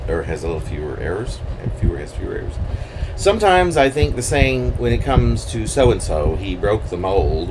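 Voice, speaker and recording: A man with a very low voice speaks as a poet presenting his own writing. He is hesitant and self-deprecating, wandering casually from one aside to another. The home recording has a clear, steady background noise. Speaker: poet introducing and reading own poem. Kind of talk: rambling casual talk around a poem reading.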